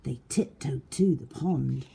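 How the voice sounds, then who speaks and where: A woman narrating a rhyming picture book for young children in a theatrical, deliberate manner. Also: a bass voice, vocal fry, warm tone, a little hiss or hum.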